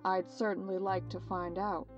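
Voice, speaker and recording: An actress playing a determined young female detective in an earnest, crisp old-time radio drama style. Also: medium pitch, flat delivery, normal speed, dull audio, over music, natural voice. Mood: earnest, respectful.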